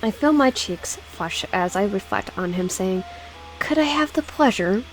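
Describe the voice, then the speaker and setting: Halting woman reading aloud over music. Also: mid-range voice, animated, poor audio. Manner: halting, stumbles over words and repeats phrases. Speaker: let's player reading a visual novel aloud. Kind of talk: casual read-aloud of game text with personal asides. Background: background music.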